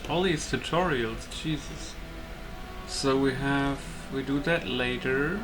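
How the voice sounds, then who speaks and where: A man's voice: medium pitch, slow pace, music in the background, rough recording. A man giving casual, unscripted commentary while he plays a video game, muttering reactions to himself. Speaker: gaming YouTuber playing and commenting.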